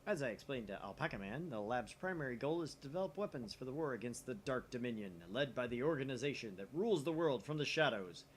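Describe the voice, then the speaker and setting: A man with a lively, swinging delivery reads game text aloud in a theatrical way, slipping into casual asides. His pitch sits in an ordinary male range. There is light noise behind him on a modest room mic. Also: quick pace, few pauses. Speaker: let's play host reading a visual novel aloud. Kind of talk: animated script reading with casual asides. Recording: noisy room mic, slightly off to the side.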